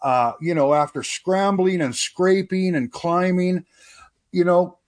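A man's voice: sits high, steady tone, slow. A man giving his opinions as a commentator in a casual, folksy sports radio discussion. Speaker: sports writer and commentator guest.

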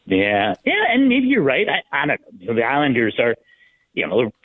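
A male hockey analyst talking on sports radio in a halting, conversational way, restarting phrases as he thinks aloud. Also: medium pitch, wildly swooping pitch, breathy edge. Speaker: sports writer, guest analyst. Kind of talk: hockey talk radio discussion. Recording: thin, phone-like line.

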